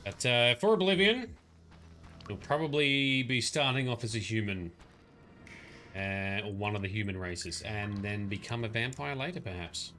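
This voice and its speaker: Bright-voiced man streaming a game. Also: fairly low pitch, normal speed, slight background noise. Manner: rambling, chatty, talks to chat by name. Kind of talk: live gameplay commentary. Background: faint game music.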